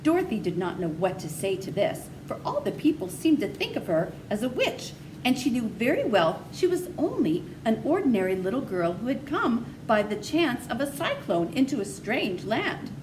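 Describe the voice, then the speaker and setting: A woman's voice: a little high, big pitch swings, moderate pace, few pauses. Woman storybook reader, distant and noisy recording. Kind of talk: read-aloud children's story narration. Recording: noisy, distant microphone pickup.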